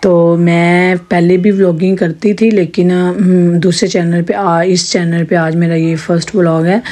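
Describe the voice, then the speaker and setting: A woman with a sore, hoarse throat chatting in a rambling home vlog with her viewers. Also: lowish voice, level tone, measured pace, mellow. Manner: chatty, rambling with many restarts. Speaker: vlogger. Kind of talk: casual home vlog narration.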